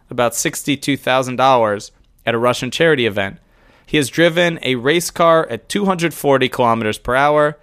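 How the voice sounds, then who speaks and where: A man's voice: medium pitch, a bit bright. A man co-hosting a casual podcast who rambles through lists, laughs often and swears now and then. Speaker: podcast co-host.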